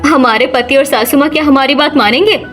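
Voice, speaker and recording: Lady doing a hopeful young village wife for a cartoon, really high voice, faint music. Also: a little fast, a bit bright. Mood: thoughtful. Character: thoughtful, hopeful young village daughter-in-law. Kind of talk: cartoon story voice acting.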